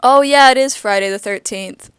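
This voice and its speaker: A woman's voice: a little high, normal speed, smooth, no echo, studio quality. A woman in her twenties co-hosting a casual podcast, chatty and giggly with plenty of "like" fillers and a groggy morning voice. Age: 20s. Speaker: podcast co-host. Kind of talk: casual chat.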